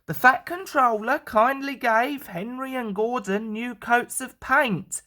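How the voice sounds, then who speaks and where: A woman's voice: fairly high pitch, slow pace, pushed and bright. A woman narrating a children's storybook as a storyteller.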